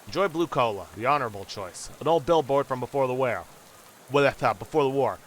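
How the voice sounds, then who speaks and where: A man's voice: medium pitch, a little fast, a little hiss or hum. A man hosting a let's play, casually and wryly commenting and reading game text aloud.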